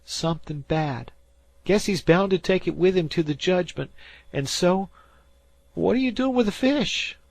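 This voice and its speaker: A man's voice: high-pitched, lively intonation, a little slow, vocal fry, no room sound, voice pushed up, heavily acted. A man voicing a frightened teenage fisherman boy who talks in rustic old-time dialect. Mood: spooked.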